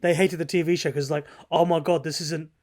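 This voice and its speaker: A man's voice: a little high, fairly even intonation, brisk, darkish tone. A man co-hosting a podcast, giving opinions in an informal, sweary way. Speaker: podcast co-host. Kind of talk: casual, opinionated podcast chat.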